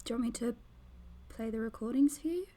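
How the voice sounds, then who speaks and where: A woman's voice: on the high side, fast talker, mellow, natural voice. A woman acting in an audio drama as a caring, tentative young woman looking after her partner. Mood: caring, attentive.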